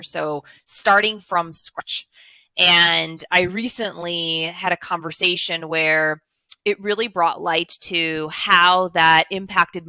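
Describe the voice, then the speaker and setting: Woman guest on a headset call, bright clear voice, lotsa "right?" and ums, dry sound. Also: fairly low pitch, no echo. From Chicago, USA. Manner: earnest, fluent, many fillers and "right?". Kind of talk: casual interview storytelling. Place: home office with blinds, indoors. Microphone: headset, video call.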